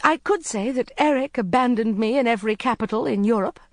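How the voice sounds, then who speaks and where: An anxious young woman on the edge of tears, voice pitched well up and trembling as she pleads, as a woman reader puts her on. The sound is close and dry, as in an audiobook booth. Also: a little fast, dry recording, natural voice. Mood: agitated. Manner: high, tearful, pleading. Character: distressed young woman, emotional and restless.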